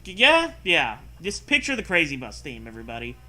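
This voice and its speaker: A man's voice: fairly high pitch, huge pitch swings, a little fast, bright, forceful tone. A man reading a story aloud and breaking in with sarcastic, sweary casual commentary. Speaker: host reading and mocking fan fiction.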